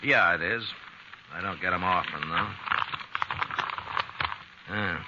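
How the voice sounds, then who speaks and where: A principled, weary US marshal from an old radio western. The voice is low and folksy, on a muffled recording with clear background noise. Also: male voice. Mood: disapproving. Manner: plain-spoken, folksy, drawn-out hesitations. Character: weary but principled male frontier US marshal. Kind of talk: 1950s radio western acting.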